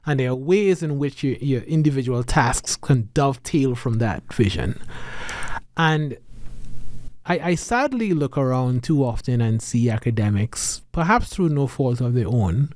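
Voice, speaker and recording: A man's voice: medium pitch, expressive, unhurried, darkish tone, dry recording. A man with a Trinidadian accent talking in a podcast conversation, earnest and reflective, often checking in with "right?" and restarting his sentences.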